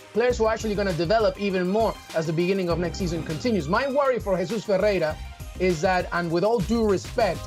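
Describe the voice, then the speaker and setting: Casting: male soccer pundit from Peru, high-pitched, animated and emphatic, with faint music under him. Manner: animated, emphatic, rhetorical questions. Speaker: soccer analyst. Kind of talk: studio sports analysis.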